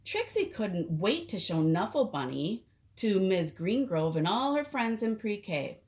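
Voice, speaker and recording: A woman reading a picture book aloud to children as an expressive storyteller. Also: medium pitch, unhurried.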